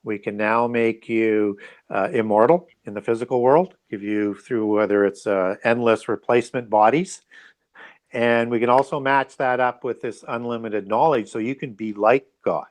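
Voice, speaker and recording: Man explaining, halting conversational delivery. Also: mid-range voice, fairly even intonation. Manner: halting, explanatory, often adds "right?" and "you know". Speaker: interview guest, author. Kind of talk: conversational interview answers on religious conspiracy.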